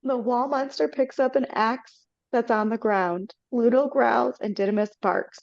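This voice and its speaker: A woman's voice: fairly high pitch, measured pace, creaky, no echo. A woman reading the stage directions aloud in plain, matter-of-fact narration. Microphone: video call. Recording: dull dry video call audio.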